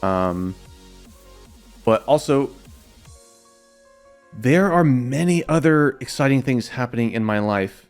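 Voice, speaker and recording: A young man with a slightly raspy voice, casually answering viewer questions on a livestream in a rambling, filler-heavy way. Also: medium pitch, expressive, takes it slowly, pauses often, faint music behind. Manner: rambling, loose, many fillers and repeats.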